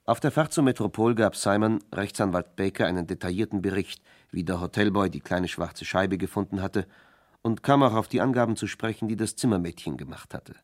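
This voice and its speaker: A man's voice: lowish voice, fairly quick. A man narrating a scripted German radio crime drama.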